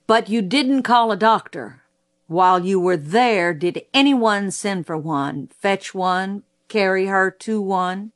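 Woman reading as a persistent, unhurried courtroom lawyer. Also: mid-range voice, natural voice. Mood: pressing, edged. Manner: probing, pointed, formal courtesy. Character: courteous, persistent male lawyer, a father, questioning witness. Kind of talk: audiobook character voice.

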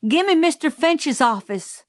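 A woman's voice: fairly high pitch, a little slow, dry recording, clean studio sound, natural voice. A woman voicing a brusque, commanding Southern housekeeper in an audiobook, with emphatic delivery and a Southern US accent. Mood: urgent, alarmed. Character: forceful, no-nonsense Southern housekeeper woman, exasperated then urgent. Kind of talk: audiobook character voice.

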